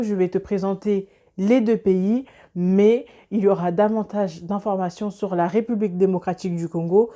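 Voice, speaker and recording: A woman with a soft-edged, mellow voice presents an explanatory video. She speaks in a measured, instructive manner at a medium pitch, addressing the viewer directly. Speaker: lifestyle video host.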